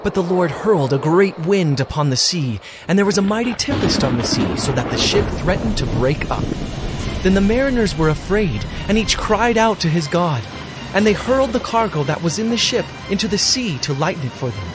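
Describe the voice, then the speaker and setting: A man's voice: high-pitched, big pitch swings, a little fast, over music. A man narrating a dramatized audio Bible as a scripted, dramatic story.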